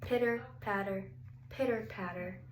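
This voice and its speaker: Slow-paced woman reading a picture book. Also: mid-range voice. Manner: drawn-out words, questions to young listeners. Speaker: story reader for young children. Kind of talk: picture-book read-aloud. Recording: roomy echo, some background noise.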